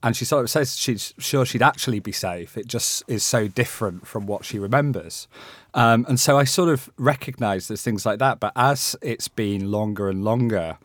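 A man's voice: mid-range voice, moderate pace, dry recording. A young man with a West Yorkshire accent, a panel guest talking in a hesitant, thoughtful, conversational way. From England. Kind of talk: panel discussion.

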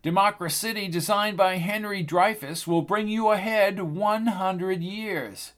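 A man's voice: sits high, slow, fairly bright tone, voice pushed up. A man voicing a polished woman tour guide. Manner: smooth and polished.

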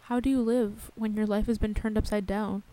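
A woman's voice: mid-range voice, steady tone, normal speed, warm tone, natural voice. An actress playing a grave, intent female interviewer in a radio play, speaking in a restrained and serious way. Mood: earnest questioning.

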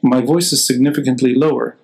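A man hosts a live, unedited podcast interview in a mid-range voice. His talk is informal and hesitant, and he thinks out loud as he goes, chatting with a guest over a video call. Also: mid-range voice, steady tone, a little fast, vocal fry. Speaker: podcast host. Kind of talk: unscripted live interview, casual chat.

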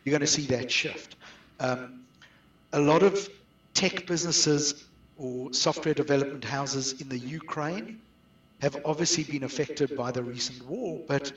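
A man's voice: fairly high pitch, unhurried. A middle-aged man with a South African accent, answering interview questions conversationally as a business guest. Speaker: guest outsourcing business expert. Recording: roomy echo, distant from mic.

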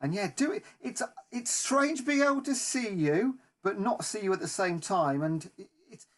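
A man with a fairly high voice chats as a podcast co-host. His delivery is informal and conversational, like talk between two regular hosts. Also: lively intonation, moderate pace. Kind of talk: casual football podcast chat. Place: remote online recording.

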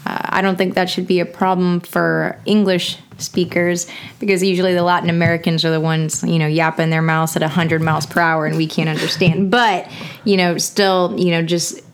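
A woman's voice: lowish voice, level tone, normal speed, slight background noise. A young woman from Texas with a Texan accent, co-hosting a casual podcast in a chatty, joking way. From Houston, Texas. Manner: chatty, joking, laughs often. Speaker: podcast co-host, medical applicant.

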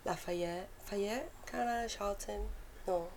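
A woman from New York with a Puerto Rican Bronx accent, a comedian guest chatting fast and animatedly on a podcast. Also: mid-range voice, vocal fry, slight background noise. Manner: fast-talking, animated, laughs often. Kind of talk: casual podcast chat.

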